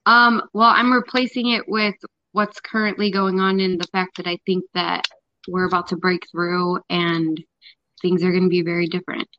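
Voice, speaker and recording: A woman's voice: on the low side, little pitch movement, moderate pace, dull audio. A young woman guest chatting casually and hesitantly, full of filler words. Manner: hesitant, lots of likes. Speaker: livestream guest. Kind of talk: casual chat.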